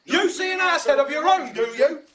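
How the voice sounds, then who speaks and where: A man's voice: extremely high pitch, expressive, fairly quick, a bit bright, distant mic. A man playing a bumbling, self-important amateur actor in a Shakespearean audio drama, declaiming pompously. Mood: indignant, stubborn.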